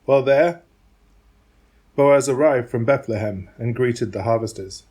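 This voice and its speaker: A man's voice: medium pitch, a little slow, a little mellow, breathy edge. A man narrating a dramatised Bible reading from a script.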